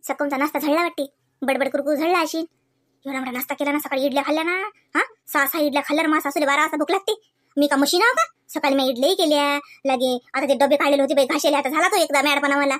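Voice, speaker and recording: A woman plays a harried village daughter-in-law from a comedy cartoon. She has a high voice and chatters quickly with a rural Varhadi Marathi accent. Her manner is gossipy and put-upon. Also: a bit bright, no room sound, studio quality. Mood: aggrieved venting. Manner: gossipy, complaining, colloquial.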